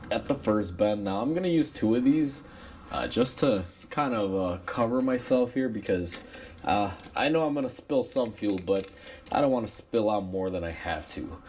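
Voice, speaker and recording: A man with a cold and a raspy voice, talking casually through a repair step by step with plenty of fillers. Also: fairly low pitch, slight background noise. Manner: relaxed, rambling with many uh fillers. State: has a cold. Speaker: mechanic demonstrating a repair. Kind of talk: casual how-to tutorial narration.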